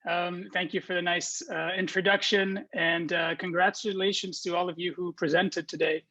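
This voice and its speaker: A man's voice: sits high, little pitch movement, normal speed, pushed and bright. A man in his twenties from Belgium, giving a friendly, informal talk to students as a guest speaker, with many ums and restarts. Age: 20s.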